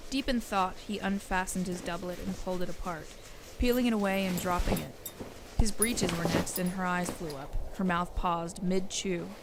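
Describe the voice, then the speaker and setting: A woman's voice: lowish voice, steady tone, moderate pace, background noise. A woman narrator reading a scripted audio story. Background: music.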